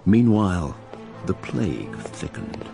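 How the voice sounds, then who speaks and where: An actor playing a wry, imperious diarist narrating a radio drama with sardonic, theatrical asides. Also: male voice, sits low, very animated, slow, gravelly, over music, full character voice. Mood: sardonic, confiding. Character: wry, imperious male narrator-diarist of plague-stricken London.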